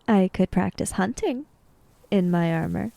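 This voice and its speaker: A woman's voice: mid-range voice, expressive, unhurried, vocal fry, dark tone, dry recording. Woman playing a reserved, polite samurai traveller. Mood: reluctant.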